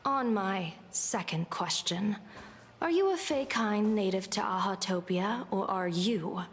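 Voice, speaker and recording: A woman voicing a shrewd, composed diviner in an anime game, measured and formal as she probes. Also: medium pitch, animated, slight background noise, close to an everyday voice. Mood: probing. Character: composed, shrewd female diviner, coolly interrogating.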